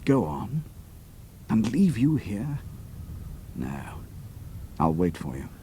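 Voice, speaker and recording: An actor playing a loyal, cool-headed British pilot hero in an audio drama, with a firm, clipped manner. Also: male voice, on the low side, very animated, slow pace, dark tone, a little hiss or hum. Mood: reassuring, stubborn.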